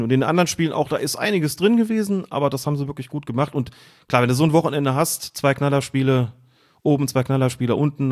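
A man from Germany calmly explaining refereeing decisions and rules in a casual podcast chat, pausing to search for words. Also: mid-range voice. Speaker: referee expert guest.

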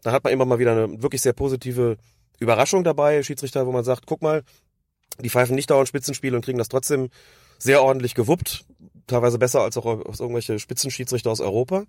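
A man, hoarse from a cold, giving long analytical explanations as a podcast co-host. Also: mid-range voice, fairly even intonation, quick pace, darkish tone, no echo, studio quality. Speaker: podcast co-host discussing refereeing. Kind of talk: casual podcast chat.

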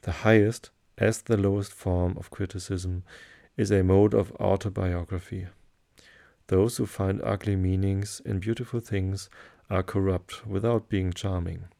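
A German-speaking man with a German accent, hosting a sleep podcast and reading aloud in a low, soothing, hesitant way. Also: creaky. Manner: hesitant, many fillers, slow calm delivery.

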